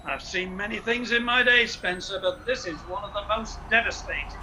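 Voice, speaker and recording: An actor playing a seasoned, inquisitive senior police investigator in a radio play. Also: male voice, sits high, moderate pace, sharp tone, a little hiss or hum, low-quality recording. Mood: grave, curious.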